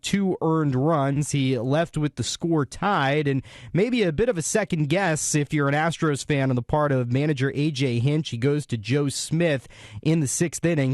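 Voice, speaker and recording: A man's voice: fairly high pitch, dry recording. A man hosting a radio post-game show, recapping a game in a sportscaster's style from a studio. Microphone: close broadcast studio mic.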